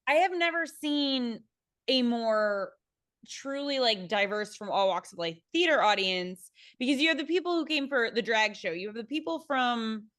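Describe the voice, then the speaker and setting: A woman's voice: fairly high pitch, lively intonation, moderate pace, a bit bright, dry recording, clean studio sound. A woman speaking casually as a theatre panelist, thinking aloud and searching for her words.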